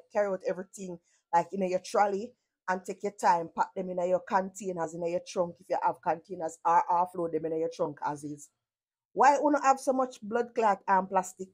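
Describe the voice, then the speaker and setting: Jamaican-accented woman, sarcastic reaction commentator, blunt and profane, steady middle pitch, casual patois delivery.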